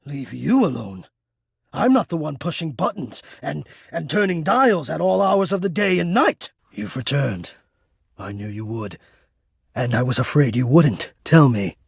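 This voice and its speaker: A man voicing a shy, devoted, lovesick home heating system for a romance video game, speaking in a halting, intimate and earnestly formal way. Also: medium pitch, vocal fry, husky, dry recording, clean studio sound. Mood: wounded, indignant, then tender, wistful longing.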